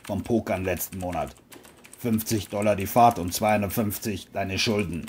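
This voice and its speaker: A narrator voicing a burly middle-aged male carpet dealer in an audio crime drama, gruff, taunting and smug. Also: sits low, normal speed, a little hiss or hum, voice pushed down, natural voice. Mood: smug, threatening.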